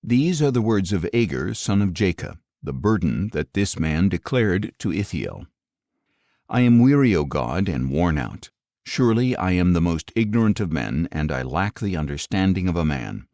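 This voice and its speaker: Male audiobook narrator, low-pitched, dry studio-like sound, solemn scripture reading, deliberate phrasing. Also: sing-song, mellow, no room sound.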